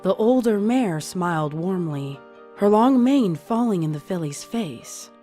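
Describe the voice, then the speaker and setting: A woman's voice: medium pitch, expressive, a little slow. A woman reading scripted audiobook narration as the storyteller. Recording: music playing behind the voice. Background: soft music.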